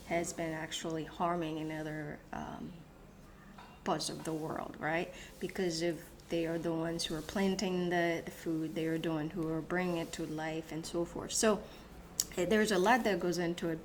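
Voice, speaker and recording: Woman hosting a solo talk, searching for words, lowish voice, bit of noise behind. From Haiti, raised in the United States. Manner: thoughtful, searching, many restarts and fillers. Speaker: host.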